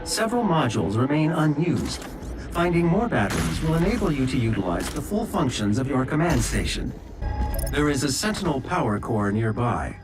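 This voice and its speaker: A man's voice: mid-range voice, big pitch swings, normal speed, lightly acted. A man playing a dutiful ship's artificial intelligence in a video game, speaking formally and precisely. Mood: helpful, formal. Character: dutiful male artificial intelligence aboard a spaceship. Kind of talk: video game character dialogue. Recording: loud music behind the voice.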